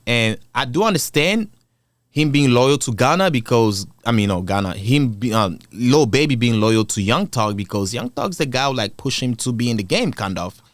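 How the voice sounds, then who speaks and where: A man's voice: mid-range voice, expressive, moderate pace, no room sound, clean studio sound. Rambling African man reacting to clips. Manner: rambling, thinks aloud with hesitations. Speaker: commentary channel host. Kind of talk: casual reaction commentary.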